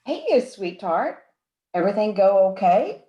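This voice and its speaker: An actress playing a sly, flirtatious nightclub dame in a campy 1940s radio noir play. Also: female voice, medium pitch, sing-song, unhurried, lo-fi sound. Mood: flirtatious, coy. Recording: roomy live stage sound.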